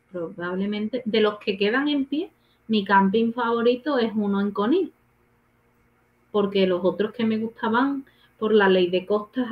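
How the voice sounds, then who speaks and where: Middle-aged female guest, lively and emphatic, muffled call audio from a home room. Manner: animated storytelling, rises in pitch for emphasis. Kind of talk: casual video-call chat.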